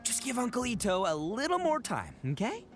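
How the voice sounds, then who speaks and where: An actor playing a boisterous horned oni man in a video game, loud and boastful, stammering as he bluffs. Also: very high voice, huge pitch swings, fairly quick, slight background noise, exaggerated voice. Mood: bluffing. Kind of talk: video game cutscene acting. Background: game music.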